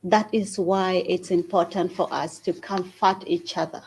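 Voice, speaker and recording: Woman, from Uganda, charity founder, unhurried earnest speech, long pauses, event talk. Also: lowish voice, steady tone, a little mellow, breathy edge. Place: event hall at a university.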